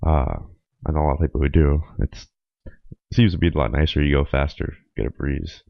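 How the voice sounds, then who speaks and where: Hoarse young guy rambling on his podcast, super deep gravelly voice, dry dull sound. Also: a little fast, dry recording. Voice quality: raspy. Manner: rambling, with many ums and uhs. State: hoarse from a cold. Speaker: podcast host. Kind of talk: casual solo podcast monologue.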